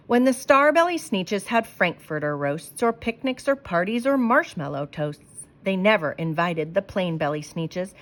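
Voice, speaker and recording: A woman's voice: lowish voice, fairly bright tone. A woman reading a rhyming picture book aloud to children with emphatic, sing-song expression and short asides.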